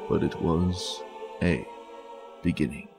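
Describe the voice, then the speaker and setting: A man giving a dramatic reading of a fantasy novel in a solemn, deliberate storyteller manner. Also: very deep voice, creaky, a little mellow, faint music behind.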